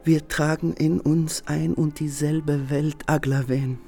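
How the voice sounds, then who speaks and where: An actor playing an adoring, anxious lover, speaking in a solemn, measured, lyrical way in a poetic radio drama. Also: male voice, on the high side, level tone, creaky, warm tone. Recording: music behind the voice.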